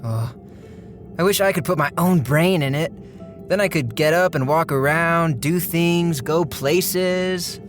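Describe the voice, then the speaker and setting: Low-voiced, stubborn bedridden dreamer. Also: female voice, a little slow, faint music behind. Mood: longing. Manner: earnest, insistent. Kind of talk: audio drama dialogue.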